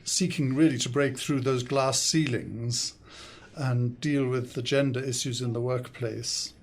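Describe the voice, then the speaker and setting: An older man with an English accent talks as a podcast guest in a studio. His pitch sits in an ordinary middle range. He answers thoughtfully and pauses often as he gathers his thoughts. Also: fairly even intonation. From South Africa, raised in the UK. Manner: thoughtful, measured, with frequent hesitations. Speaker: guest, consultant and coach. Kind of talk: podcast interview.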